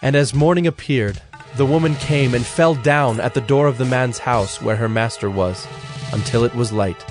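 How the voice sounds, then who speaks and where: A man narrating a dramatized scripture in a measured, solemn, scripted style. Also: mid-range voice. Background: background music.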